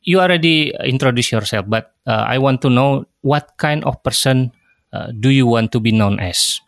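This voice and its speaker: A man from Indonesia hosting a developer podcast, friendly and brisk as he introduces and questions his guest. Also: mid-range voice, dry recording. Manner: friendly, brisk, easy laughs. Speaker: podcast host. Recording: dry close mic.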